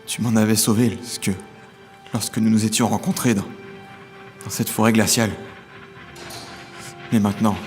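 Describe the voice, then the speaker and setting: A low male voice from an actor gives us a brooding, lonely villain bent on revenge. The delivery is theatrical and literary, in the manner of an audio drama monologue, with faint music underneath. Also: little pitch movement, moderate pace, long pauses, a little mellow, lightly acted. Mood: desolate. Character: vengeful, lonely imprisoned villain, self-styled son of death.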